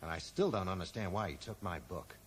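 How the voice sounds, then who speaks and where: An actor playing a dry, sarcastic amateur sleuth who probes and accuses in a 1980s radio drama. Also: male voice, low-pitched, a little fast, close to an everyday voice. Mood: probing, sardonic. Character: sardonic, probing male amateur sleuth confronting an old friend. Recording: echoey, noisy old radio broadcast recording.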